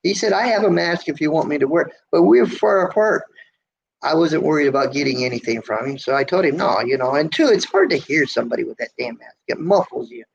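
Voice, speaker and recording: Gravelly, squeaky-voiced trucker rambling on a livestream. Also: male voice, expressive, a little fast. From United States. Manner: rambling, folksy, with many fillers and restarts. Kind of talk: casual live chat reading viewer comments. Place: motel room. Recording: lo-fi livestream audio, faint noise.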